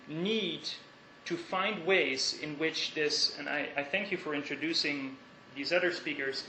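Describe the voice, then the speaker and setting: A young Dutch man giving an academic lecture in a lecture hall, thoughtful and measured, with hesitations and restarts. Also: a little high, sharp tone. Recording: echoey lecture hall, faint hiss.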